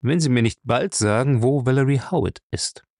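A man voicing a grim, brutal captain, curt, gruff and threatening, in an audiobook. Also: mid-range voice, normal speed, darkish tone, dry recording, clean studio sound, pitched above the performer's own voice, natural voice. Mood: menacing. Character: grim, brutal captain, a menacing male interrogator. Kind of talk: audiobook character acting.

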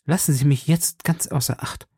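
An earnest young woman in an audiobook, as the narrator puts her on, pitched up from the natural voice. The delivery is urgent, formal and pleading, with a soft, mellow tone and a close, dry sound. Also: fairly even intonation, moderate pace, husky, no room sound. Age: young adult. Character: earnest, anxious young woman guarding dangerous secrets. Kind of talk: audiobook character dialogue.

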